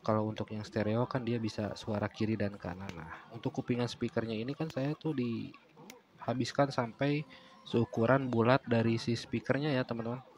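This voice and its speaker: A man's voice: mid-range voice, steady tone, moderate pace, a little mellow, slight background noise. A man narrating a casual DIY tutorial in an explanatory way, often repeating phrases. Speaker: DIY vlogger.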